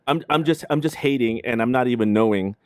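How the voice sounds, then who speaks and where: A man's voice: medium pitch, a little fast, smooth, no room sound, clean studio sound. A man hosting a casual livestream chat in an informal, slangy way. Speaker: host of a video game livestream show.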